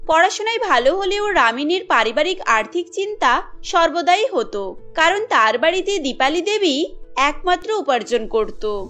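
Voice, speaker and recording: Female narrator, cartoon storytelling, high-pitched, bright voice, faint music behind.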